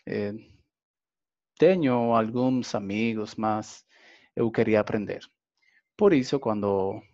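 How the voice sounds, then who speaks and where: Slow, hesitant man. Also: on the low side, darkish tone, highs cut off. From Dominican Republic. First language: Spanish. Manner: careful, hesitant learner speech with fillers. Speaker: language student. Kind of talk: interview answers.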